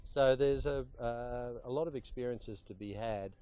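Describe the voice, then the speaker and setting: A man on the staff of a horse stud, explaining the trainee program in a measured way with some fillers. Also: medium pitch, dark tone, clean tone. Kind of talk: interview answers. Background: background music.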